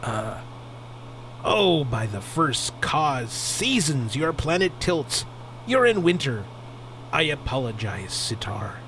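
A man's voice: medium pitch, sing-song, slow, sharp tone, a little hiss or hum, heavily acted, low-quality recording. A man playing a proud, formal alien warrior husband with stilted phrasing in a space opera radio drama. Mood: formal, apologetic.

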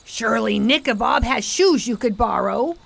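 A woman playing a protective, no-nonsense guardian in a radio play, brisk and scolding. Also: on the high side, lively intonation, vocal fry, fairly bright tone, exaggerated voice. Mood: exasperated. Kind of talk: radio theater acting.

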